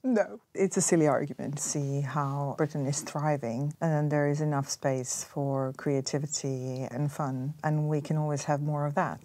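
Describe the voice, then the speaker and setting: A woman from Bosnia answering interview questions in a calm, upbeat, gently dismissive way. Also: low voice, moderate pace, few pauses. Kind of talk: casual interview.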